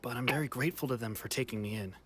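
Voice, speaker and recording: A man voicing an uneasy young man in a game, hesitant and soft-spoken. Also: mid-range voice, racing, a little hiss or hum, natural voice. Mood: uncertain. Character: uneasy young man smuggled home, unsure. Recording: game audio with faint music.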